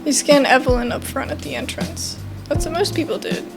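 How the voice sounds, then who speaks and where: A woman's voice: sits high, brisk, gravelly. A young adult woman hosting a gaming video with casual, chatty commentary, thinking aloud in an informal way. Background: faint game music.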